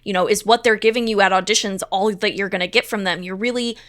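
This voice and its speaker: Female interview guest, medium pitch, conversational, earnest, emphatic delivery. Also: steady tone, quick pace, a bit bright. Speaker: stage manager, interview guest.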